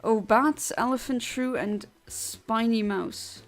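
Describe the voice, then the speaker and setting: Gravelly woman. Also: on the high side, slow pace, soft background music. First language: Luxembourgish. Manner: blunt, literal and chatty, with many restarts. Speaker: streamer. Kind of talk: casual chat answering a livestream chat.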